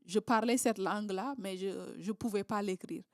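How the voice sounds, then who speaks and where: A woman's voice: medium pitch, steady tone, normal speed, no echo, clean studio sound. A woman from southern Senegal, a Creole speaker, talking in a casual interview with many fillers and restarts. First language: Creole, Diola and Wolof. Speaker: guest.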